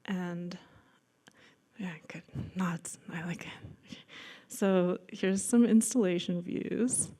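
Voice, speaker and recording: A woman's voice: lowish voice, slow, mellow. A woman in her thirties, from the United States, giving a casual slide-show talk about her paintings, chatty and self-deprecating with many likes and ums. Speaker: guest artist lecturer. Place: lecture room.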